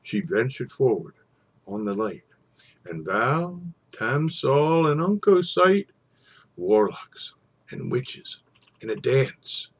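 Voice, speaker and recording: A man reciting an old narrative poem in a theatrical storytelling style, with dramatic pauses and swells. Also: medium pitch, big pitch swings, creaky, husky. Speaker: poetry reader.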